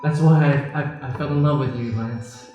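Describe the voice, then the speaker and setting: A man playing a complacent, hesitant Victorian gentleman in love, stammering melodramatically in an improvised radio play. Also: medium pitch, fairly even intonation, moderate pace, natural voice. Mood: pleading. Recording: very echoey room, music behind.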